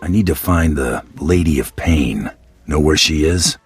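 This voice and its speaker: An actor playing a cocky, hard-bitten bounty hunter in a video game, terse and dryly sardonic, with a gravelly voice. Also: male voice, very deep voice, measured pace, no room sound, faint music behind, full character voice. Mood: terse, menacing. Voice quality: gravelly, raspy. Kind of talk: video game cutscene acting.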